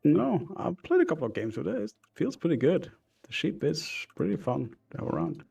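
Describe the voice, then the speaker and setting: A man's voice: a little high, huge pitch swings, fairly quick, vocal fry, darkish tone, slightly rough. A young man with a Norwegian accent co-casting an esports match, hesitant, with dry humor. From Norway. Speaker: esports co-caster. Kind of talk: casual esports commentary.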